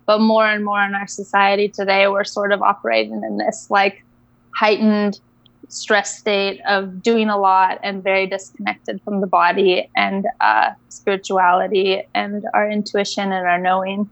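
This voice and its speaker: A young woman from Indonesia, a guest coach telling her story in a casual interview, reflective and unhurried with soft laughs. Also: medium pitch, flat delivery, creaky, dry recording, highs cut off.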